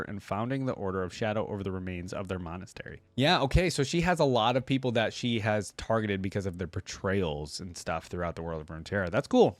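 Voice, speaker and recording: A man gives casual reaction commentary at an ordinary male pitch. The recording is dry and close, with hardly any room sound. He talks informally, thinking aloud as he shares opinions or reads along. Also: no echo. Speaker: reaction channel host.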